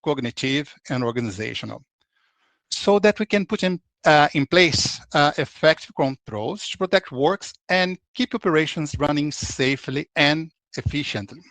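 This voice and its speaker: A man's voice: a little high, animated, normal speed, a bit bright, no room sound. A man in his forties from Brazil, speaking with a Brazilian accent as a consultant presenting a webinar in a conversational way, with frequent restarts.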